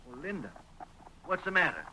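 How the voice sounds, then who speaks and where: An actor playing an earnest, stubborn young sheriff bent on vengeance, with a folksy American accent, in 1940s radio western style. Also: male voice, fairly high pitch, big pitch swings, normal speed, pushed and bright, full character voice. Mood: concerned tender. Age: young adult. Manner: folksy, dogged. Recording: faintly noisy old radio recording.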